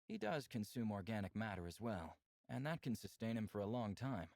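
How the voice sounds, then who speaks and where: Calm caring alien dude for a visual novel, lowish creaky voice, earnest n measured. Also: male voice, dry recording, studio quality. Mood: calm, explanatory. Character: calm, caring male alien, protective symbiont host.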